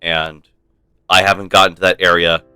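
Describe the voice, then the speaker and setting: A man gives casual live-stream commentary in a deep, clear, bright voice. He rambles playfully as he chats, with quiet game music behind him. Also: level tone, moderate pace, no room sound. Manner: rambling, playful, mock-stern. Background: soft game music.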